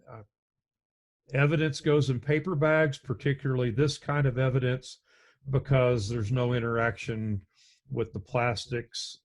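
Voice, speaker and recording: Slow, deep-voiced male EMS instructor. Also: darkish tone. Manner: slow, measured, conversational with frequent restarts. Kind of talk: recorded slide lecture. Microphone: webcam and computer mic on a video call.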